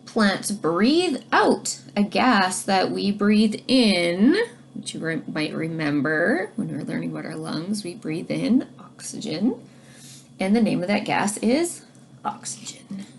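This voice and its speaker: A woman reading a children's science book aloud like a teacher, expressively, pausing to explain things directly to young listeners. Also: mid-range voice, animated, vocal fry, slight background noise. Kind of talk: read-aloud storytelling with explanatory asides to children.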